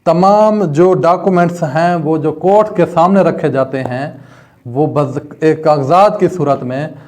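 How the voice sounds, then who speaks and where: A man's voice: on the high side, unhurried, darkish tone. A man with a Pakistani accent lecturing as a law teacher, didactically reading out and explaining material, often posing questions and answering them himself.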